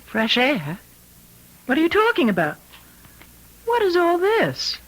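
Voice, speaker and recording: An actress playing a bewildered, high-strung woman losing her grip in an old-time radio mystery, questioning in agitation. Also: huge pitch swings, slow, a bit bright, full character voice, poor audio.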